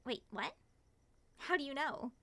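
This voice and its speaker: A woman voicing an excitable, suspicious moth monster woman with theatrical, expressive delivery in an ASMR roleplay. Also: high voice, measured pace, no echo, heavily acted. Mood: suspicious, agitated.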